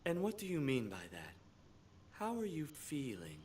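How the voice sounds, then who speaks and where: Patient, moralizing counselor for a visual novel: extremely low, earnest voice. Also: female voice, huge pitch swings, normal speed, very noisy. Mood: stern. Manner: earnest, lecturing. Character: patient, preachy adult high school counselor. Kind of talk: visual novel game voice acting.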